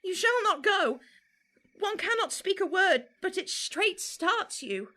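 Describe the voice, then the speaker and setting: Bright, high-voiced torn young Trojan woman played by an actress. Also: big pitch swings, full character voice. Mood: wavering. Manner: slow, declamatory, wavering. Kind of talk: theatrical Shakespearean verse acting.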